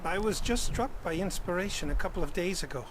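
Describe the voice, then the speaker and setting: A gentle, eager male painter in a game, with a high, lilting voice. He sounds simple and earnest, like a dreamy patient. Clear noise sits behind him. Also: expressive, fast.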